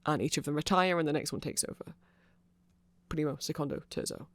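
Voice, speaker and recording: A performer voicing a nerdy, apologetic vampire shopkeeper in a casual ASMR roleplay, rambling hesitantly and excitably to a friend. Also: mid-range voice, a little fast, dry recording, lightly acted. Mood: eager explaining.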